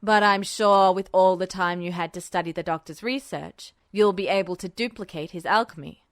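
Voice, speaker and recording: A woman's voice: on the low side, normal speed, no room sound, voice pushed down, lightly acted. A woman voicing a smug, unflappable male military officer, dry and teasing as she reads the story aloud. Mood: smug, unruffled. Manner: dry, teasing, calmly commanding. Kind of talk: podfic character voice.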